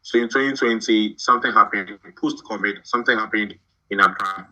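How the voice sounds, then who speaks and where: Man, from Ghana, podcast guest, halting speech, somewhat low pitch, tense bright tone. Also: rough recording. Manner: halting, repeats words while thinking. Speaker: guest, shoe brand founder. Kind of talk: podcast interview.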